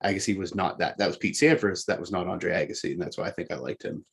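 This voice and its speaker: A man's voice: low voice, fairly even intonation, fast talker, creaky, husky. A man co-hosting a casual sports discussion, explaining things in a matter-of-fact way. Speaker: sports podcast co-host.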